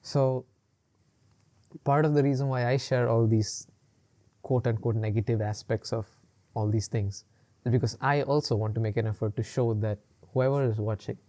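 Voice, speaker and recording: A man's voice: medium pitch, fairly even intonation, normal speed, dark tone. A man co-hosting a casual podcast, rambling along with lots of fillers and laughing often. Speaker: podcast co-host. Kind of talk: casual chat.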